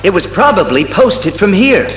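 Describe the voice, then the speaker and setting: An actor playing an eager, concerned young sidekick to a hero, excitable and earnest, in an audio drama. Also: male voice, high voice, moderate pace, soft background music.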